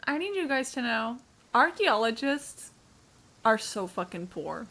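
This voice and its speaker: A woman's voice: fairly high pitch, big pitch swings, unhurried, creaky, a bit bright. A woman live streamer giving casual game commentary in a blunt, sweary, offhand way.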